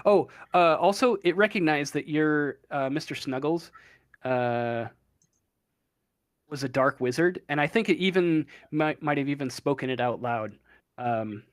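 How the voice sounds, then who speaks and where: A man narrates a tabletop horror game as game master, pausing often and filling gaps with ums and uhs. He speaks slowly, in a slightly high voice, recorded dry and close over voice chat. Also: no room sound. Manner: halting, many ums and uhs. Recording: online voice chat.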